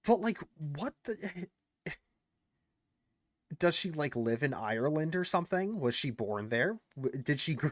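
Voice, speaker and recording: A man's voice: on the high side, a little slow, long pauses, no room sound. Indignant man reacting with many fillers. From United States. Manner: animated, indignant, thinks aloud with many fillers. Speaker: commentator reacting to clips. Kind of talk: casual reaction commentary.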